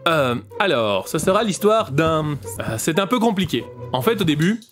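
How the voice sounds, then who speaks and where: A man's voice: on the high side, big pitch swings, normal speed, fairly bright tone, soft background music. Guy playing naive muddled new hire, shy and rambling, voice goes up and down a lot, comedy audio play. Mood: earnest, muddled. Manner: hesitant, earnest, rambling. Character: timid, naive, muddled new male employee pitching absurd story. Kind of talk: comedic French audio drama acting.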